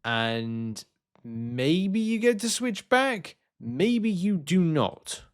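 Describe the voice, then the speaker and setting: A man's voice: sits high, sing-song, very slow, smooth. A man hosting a gaming news video, reading out announcements and musing on them in a casual, hesitant, rambling way. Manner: hesitant, rambling, thinking aloud.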